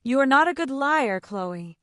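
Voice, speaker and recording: Brief: woman reading as an intense, tender young heir, soft earnest pleading, expressive lilt, dry close sound. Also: high-pitched, unhurried, no room sound, voice pushed up.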